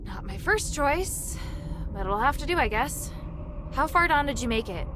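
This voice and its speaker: A woman's voice: sits high, lively intonation, normal speed, noisy background. An actress playing an earnest, dutiful young female warrior in a video game, speaking with a careful, serious manner. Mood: reluctant, resolved. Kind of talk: video game cutscene voice acting. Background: game music.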